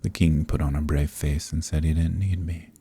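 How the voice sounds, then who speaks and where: A man playing an ancient, smug, dominant archdemon in a dark fantasy ASMR roleplay, speaking slowly and deliberately with a condescending, commanding manner. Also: fairly even intonation, full character voice. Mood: condescending, menacing. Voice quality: very deep, gravelly, husky, breathy.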